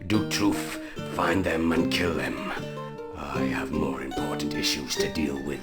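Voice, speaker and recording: An actor voicing a cold, contemptuous villain lord in a video game, giving deliberate, disdainful orders. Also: male voice, very deep voice, fairly even intonation, over loud music.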